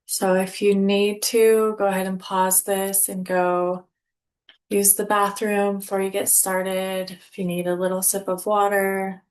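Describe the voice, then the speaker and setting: Woman, meditation instructor. Very slow, calm, unhurried delivery in mid pitch, measured pauses, guided relaxation read. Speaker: yoga nidra instructor.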